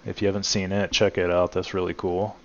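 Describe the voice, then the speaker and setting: Deep flat-voiced man in a garage. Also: fairly quick, highs cut off, slight background noise. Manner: relaxed, many "uh" fillers, addresses viewers as guys. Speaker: channel host showing his truck restoration. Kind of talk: casual walkaround narration. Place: home garage.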